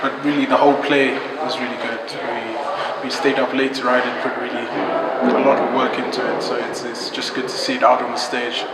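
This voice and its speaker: A young man with a naturally deep voice talks about his stage role, stopping and restarting with fillers. He is picked up from a distance in a big echoey hall, with loud ambient noise behind him. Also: little pitch movement. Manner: halting, with many fillers and restarts. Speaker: student actor interviewed about his role. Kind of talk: casual interview answers.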